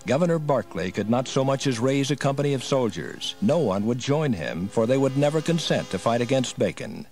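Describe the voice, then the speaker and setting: Male narrator, scripted history narration, medium pitch, music bed. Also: lively intonation, a little fast, darkish tone.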